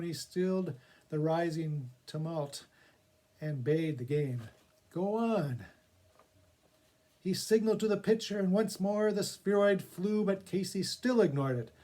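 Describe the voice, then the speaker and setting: A man with a slightly high voice reads for a library audience. He speaks as a theatrical storyteller, giving verse and introductions an expressive, spoken-word feel. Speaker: poetry reader for a public library reading project. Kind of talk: dramatic poetry recitation with casual spoken introduction.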